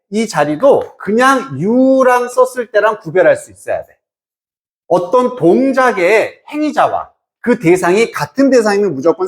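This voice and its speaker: A man teaching English in an explanatory lecture, emphatic and informal, often asking questions and answering them himself. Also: sits high. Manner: asks and answers own questions, checking understanding. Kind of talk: explanatory exam-prep lecture.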